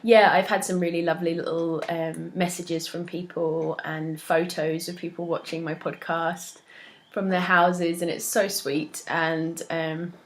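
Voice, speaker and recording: A young woman hosting a knitting podcast, chatting casually and a little stuffed up from a cold, with many ums and soft laughs. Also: fairly low pitch, flat delivery, a little slow. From moved to Australia from elsewhere. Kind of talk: casual solo chat to camera.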